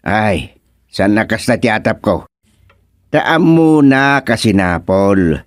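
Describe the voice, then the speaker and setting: A man's voice: fairly low pitch, big pitch swings, slow, no echo, studio quality. A man acting in a comedic radio drama as a pitying villager, with singsong exclamations. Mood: pitying, musing.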